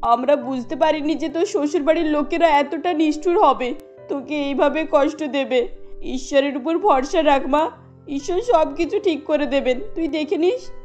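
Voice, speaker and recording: A woman's voice: sits high, moderate pace, darkish tone, lo-fi sound, faint music behind, close to an everyday voice. A woman voicing a pious, sorrowful middle-aged village mother in a cartoon story, consoling. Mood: soothing, sorrowful.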